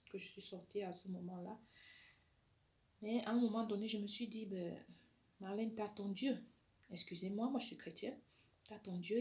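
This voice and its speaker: A woman speaks in a personal vlog, earnest and heartfelt, sharing her own experience. She often stumbles and restarts her phrases as she gathers her thoughts. Her voice sits at an ordinary middle pitch. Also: a little mellow. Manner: earnest, testimonial, with frequent restarts. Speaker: health vlogger sharing her story.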